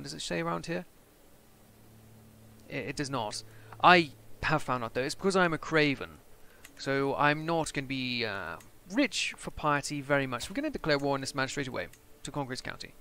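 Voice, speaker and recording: A young man chatting and joking as he plays through a strategy game for his channel, thinking out loud. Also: fairly high pitch, creaky. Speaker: gaming channel host. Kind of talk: casual strategy game let's play commentary.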